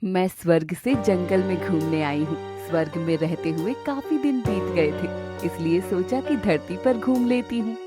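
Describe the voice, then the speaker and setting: A woman voicing a sly fairy in an animated moral story, coaxing and composed. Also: fairly low pitch, measured pace, loud music behind the voice, close to an everyday voice. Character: sly fairy sweetly luring forest animals.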